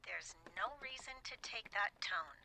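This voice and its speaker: A woman's voice: mid-range voice, animated, measured pace, gravelly, sharp tone, a little hiss or hum, full character voice. An actress playing an anxious, smothering middle-aged mother in a horror audio drama, fussing hesitantly with passive-aggressive concern. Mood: worried, coaxing. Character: fretful, smothering, anxious mother of a grown daughter.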